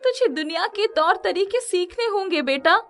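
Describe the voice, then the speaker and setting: A fretful widowed mother in a moral tale, put on by a female narrator. She pleads emphatically in a high, raised voice, full of worry. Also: expressive, normal speed, fairly bright tone, heavily acted. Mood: worried. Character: worried, exasperated widowed mother, middle-aged. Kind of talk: storybook character dialogue.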